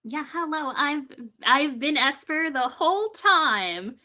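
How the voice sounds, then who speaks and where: A woman's voice: sits high, animated, slow pace, bright, forceful tone. A woman bantering casually as a podcast panelist, with a wry, playful way of talking.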